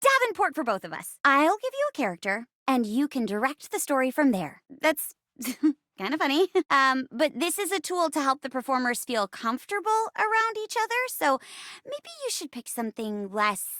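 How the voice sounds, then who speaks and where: Perky female game character, high-pitched, very animated, crisp bright tone, theatrical host, giggly, encouraging. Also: normal speed, no echo, studio quality, full character voice. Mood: awkward, teasing. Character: peppy female living chair, theatrical improv host. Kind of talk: video game character voice acting.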